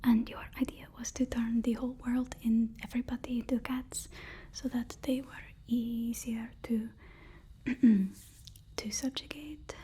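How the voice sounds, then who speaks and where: Casting: a woman as a fawning supervillain's assistant, breathy whisper, slow and hesitant, ASMR roleplay. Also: fairly high pitch, fairly even intonation, mellow. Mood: placating, hesitant. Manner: deferential, placating, halting.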